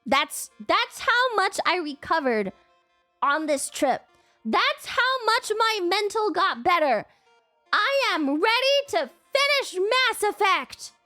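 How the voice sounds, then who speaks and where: A woman's voice: very high-pitched, big pitch swings, slow, bright, forceful tone, no room sound, soft background music. A woman livestreamer with a cold, chatting casually and rambling, breaking into whiny, playful outbursts, on a desk mic at home. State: congested with a cold. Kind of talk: casual chat stream.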